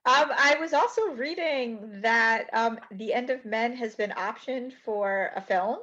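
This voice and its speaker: A woman hosting a library podcast, asking questions slowly with many pauses and restarts. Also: a little high, pushed and bright. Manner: hesitant, many ums and restarts. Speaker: podcast host. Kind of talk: interview questions. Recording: dull lo-fi sound.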